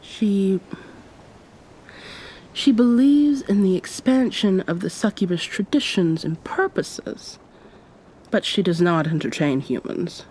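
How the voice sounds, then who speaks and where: A woman playing an affectionate, teasing yet commanding succubus pirate captain in an intimate ASMR roleplay, softly spoken and often near a whisper, with formal old-fashioned phrasing. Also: medium pitch, slow, pauses often, breathy edge, low-quality recording. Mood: earnest.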